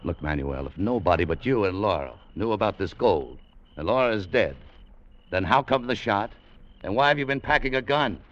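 Tough American fortune hunter, very low voice, widely swinging inflection, slangy and terse; old hardboiled radio drama. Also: male voice, lively intonation, moderate pace, a bit bright. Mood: suspicious. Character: tough, wry, opportunistic American adventurer who narrates. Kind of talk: 1940s-50s hardboiled radio drama acting. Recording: muffled, noisy vintage radio recording.

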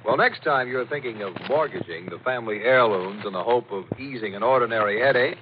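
Mid-pitched male announcer, noisy old radio. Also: lively intonation, brisk, narrow, tinny audio. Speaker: commercial announcer. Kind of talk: 1940s radio ad read.

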